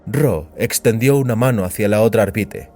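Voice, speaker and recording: A man's voice: fairly low pitch, moderate pace, warm tone, soft background music. A man giving a dramatic narration of scripted fiction as an audiobook narrator.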